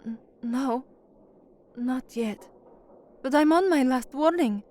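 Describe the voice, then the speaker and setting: A woman's voice: high voice, takes it slowly, darkish tone. An actress playing an anxious young working-class woman and trainee newsreader in an audio drama. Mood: fretful. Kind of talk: audio drama acting with first-person narration.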